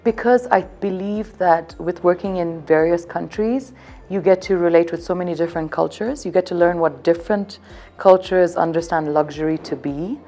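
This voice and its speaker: Brief: thirties woman, hotel events rep, mellow low voice, upbeat sales pitch, faint music behind. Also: normal speed. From Zambia. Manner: upbeat, selling, keeps circling back to one theme. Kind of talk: promotional interview answers.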